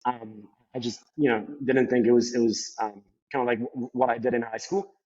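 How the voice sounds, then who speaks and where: Fast-talking young man with a Colombian accent. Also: medium pitch, level tone, a little mellow. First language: Spanish. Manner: rambling, heavy on "like" and "you know" fillers. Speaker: podcast guest. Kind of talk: casual interview talk. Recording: slightly dull lo-fi sound.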